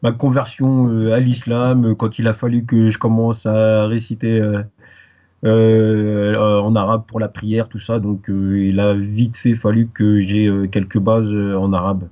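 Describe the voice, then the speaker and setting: A French man talking through his story in an interview, slow to find his words and filling the gaps with pauses. His voice is dark and mellow, and the muffled, lo-fi recording dulls it further. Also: lowish voice, little pitch movement. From France. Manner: hesitant, many pauses and fillers. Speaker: interview guest. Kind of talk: casual interview.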